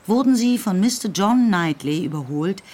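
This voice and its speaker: A woman narrating a radio play in a measured, even storytelling manner. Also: medium pitch.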